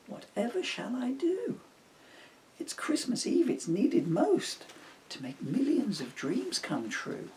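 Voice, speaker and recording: An anxious storybook reindeer, acted out by a man, frets and exclaims with hugely swinging pitch. The delivery is slow and gushing, full of self-blame. Faint noise sits behind the voice. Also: wildly swooping pitch, darkish tone, far from the mic, exaggerated voice. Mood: despairing. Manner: sighing, fretful, exclaiming.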